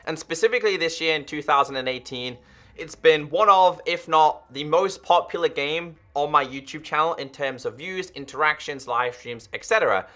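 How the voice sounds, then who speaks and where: This is a young man in his twenties making a gaming video, speaking straight to his viewers in an earnest, direct way. His voice sits a little high for a man and has a crisp, forceful edge. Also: moderate pace. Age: 20s. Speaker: gaming YouTuber. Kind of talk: direct-to-camera commentary.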